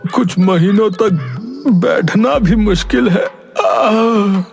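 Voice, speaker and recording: A man voicing a foolish, greedy, nervous villager in a cartoon moral story, stammering and pleading in a drawn-out whine. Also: high voice, creaky, slightly rough, faint music behind, heavily acted. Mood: pained, whining. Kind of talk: animated Hindi moral story acting.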